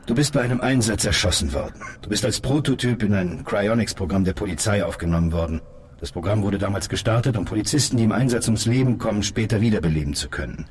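Man acting deep, brisk, reassuring police colleague. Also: animated, darkish tone, a little hiss or hum, close to an everyday voice. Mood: matter-of-fact. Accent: standard German. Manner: measured wording, reassuring, direct. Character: calm, matter-of-fact male colleague patiently explaining hard news. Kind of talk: German radio drama acting.

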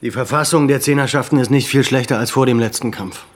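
Actor as sober, mid-pitched military commander. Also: male voice, lightly acted. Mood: sober assessment. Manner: measured, earnest. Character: sober, thoughtful military commander of a besieged camp. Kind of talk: radio drama acting.

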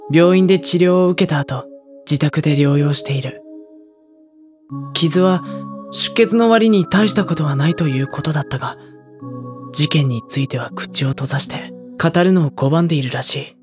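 高校生の少年役として、沈んだ調子で物語を語る男性の声優。. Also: male voice, on the high side, close to an everyday voice. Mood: subdued, grave. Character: subdued high school boy narrating his story. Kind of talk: scripted first-person drama narration. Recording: music under the voice.

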